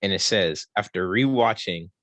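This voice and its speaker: A man co-hosting a podcast in casual banter, slangy and excitable, repeating phrases for emphasis. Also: low voice, normal speed, no room sound. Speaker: podcast co-host. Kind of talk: casual banter reviewing a movie.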